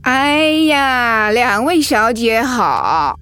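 A woman's voice: on the high side, very animated, very slow, pushed and bright, smooth, soft background music, full character voice. A woman acting a protective middle-aged mother-in-law in a radio drama, outspoken and motherly. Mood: breezy, polite. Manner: indignant, emphatic when provoked, motherly.

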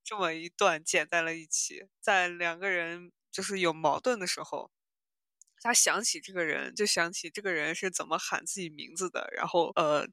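Bright, crisp-edged woman chatting casually. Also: lowish voice, dry recording, clean studio sound. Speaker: podcast co-host. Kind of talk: casual chat about audio dramas.

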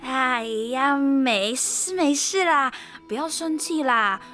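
Woman acting as high-voiced, concerned young roommate. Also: expressive, slow, a bit bright, faint music behind, full character voice. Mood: soothing. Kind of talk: radio drama acting.

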